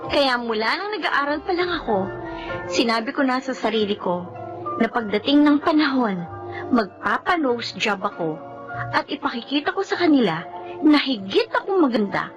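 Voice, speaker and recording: An actress playing a vain, sharp-tongued young office woman who talks in a sarcastic, boastful way. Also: sits high, big pitch swings, normal speed, muffled recording, music in the background. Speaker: office worker. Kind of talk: audio drama acting.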